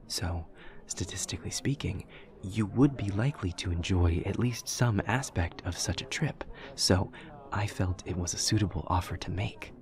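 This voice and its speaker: A man's voice: low-pitched, vocal fry, darkish tone. A man playing an awkward, earnest young demon student in an audio roleplay, speaking in a formal, literal, over-explaining way. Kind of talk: audio roleplay acting, addressing the listener.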